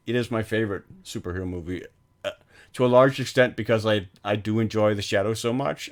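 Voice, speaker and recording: A man co-hosting a film podcast, talking casually and hesitantly, with frequent ums and restarts. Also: low-pitched.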